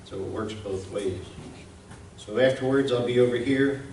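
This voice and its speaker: A man's voice: medium pitch, moderate pace, darkish tone. Man giving a talk in a roomy space. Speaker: presenter, radio station founder. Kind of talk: informal public presentation. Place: indoor museum event room. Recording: roomy, distant mic, clear background noise.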